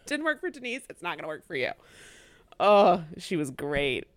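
Lively, swearing young woman podcaster. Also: fairly low pitch, moderate pace, no echo. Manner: animated, swears freely, acts out quotes. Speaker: podcast co-host. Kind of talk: casual chat recapping a reality show.

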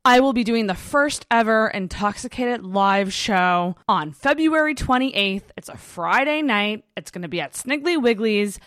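A woman's voice: mid-range voice, expressive, a little slow, hardly any pauses, fairly bright tone, no echo, studio quality. A woman hosting a podcast in a casual, chatty way, with a big voice, gushing and swearing freely. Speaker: podcast host.

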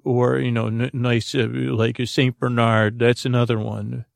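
A man with a gravelly, creaky voice hosting a sleep podcast, rambling in a halting, goofy, improvised bedtime monologue that wanders into tangents. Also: medium pitch, little pitch movement, dry recording, studio quality.

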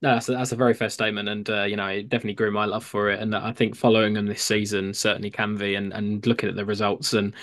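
Young English male podcast host, lowish voice, dry sound, hesitant. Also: flat delivery, fast, hardly any pauses, a bit bright, no room sound. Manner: hesitant, many fillers and restarts. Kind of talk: casual chat.

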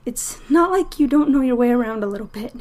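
A woman playing a frightened, shaken woman stranded alone, muttering to herself in a halting, hushed, breathy voice in an audio drama. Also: on the high side, lively intonation, close to an everyday voice.

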